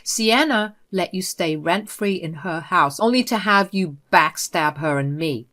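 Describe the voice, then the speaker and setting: A woman's voice: fairly low pitch, animated, measured pace, fairly bright tone. A woman voicing an angry grown son, stern and clipped as he confronts his mother. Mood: furious.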